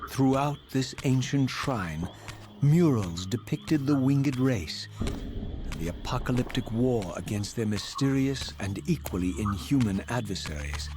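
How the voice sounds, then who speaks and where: A man's voice: medium pitch, animated, unhurried, background noise, natural voice. A man playing a proud, vengeful undead wraith, narrating in grave, formal, theatrical diction for a video game. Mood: brooding, intrigued. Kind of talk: dramatic scripted game narration. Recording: music behind the voice.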